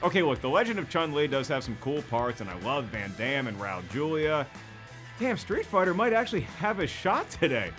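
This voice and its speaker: A man's voice: fairly high pitch, very animated, moderate pace, a bit bright, soft background music. A man with an American accent hosting a scripted comedic video essay in a jokey, emphatic presenter's delivery. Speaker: host.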